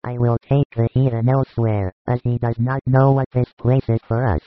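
A man reading aloud and voicing a calm, gentle yet firm religious teacher. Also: mid-range voice, moderate pace, no room sound, faint music behind, lightly acted. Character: calm, kind, authoritative male religious teacher. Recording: tinny phone-like dry recording.

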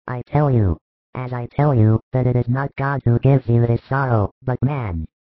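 A man voicing a compassionate rabbi in a Bible story, speaking in a measured, earnest and reassuring way with gentle authority. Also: medium pitch, no echo, narrow, tinny audio, lightly acted. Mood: firm, reassuring.

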